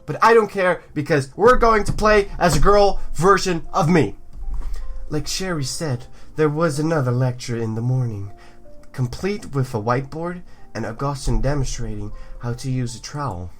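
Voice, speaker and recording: A man's voice: fairly high pitch, normal speed, fairly bright tone, soft background music. A man hosting a let's play, reading story text aloud with joking asides and stumbles over words. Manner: jokey, stumbles over words and repeats them for effect. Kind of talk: playful game commentary mixed with reading visual novel text aloud.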